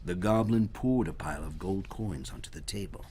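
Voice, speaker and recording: A man reading a children's story aloud in a dramatic, sometimes hushed storytelling manner. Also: deep voice, moderate pace, a little hiss or hum.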